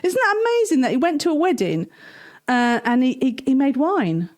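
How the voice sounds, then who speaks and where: Casting: woman, children's story host, higher voice, enthusiastic and giggly, informal conversational telling. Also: very animated, moderate pace, darkish tone.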